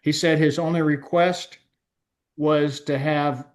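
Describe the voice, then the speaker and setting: A man in a film club giving his thoughts casually, in a halting, reflective way. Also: fairly high pitch, fairly even intonation. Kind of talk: casual group discussion.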